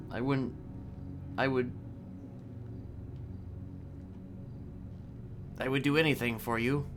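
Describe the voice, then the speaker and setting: Guy doing an awkward lovesick convict in a roleplay game, halting and rambly, mid voice, music behind. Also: medium pitch, close to an everyday voice. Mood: bashful, lovestruck. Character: awkward, bashful, lovestruck male jailbird. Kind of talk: improvised online roleplay, casual chat.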